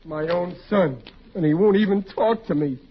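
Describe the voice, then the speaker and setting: A man's voice: sits high, normal speed, natural voice. An actor playing a hapless, dejected husband and father with a plain, folksy, ungrammatical way of talking in a 1940s radio comedy. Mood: glum, self-pitying. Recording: muffled, noisy 1940s radio recording.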